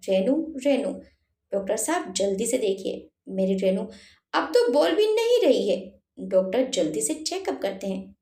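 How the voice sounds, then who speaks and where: High, animated woman storyteller. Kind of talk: dramatic scripted moral story narration. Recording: roomy echo on the voice.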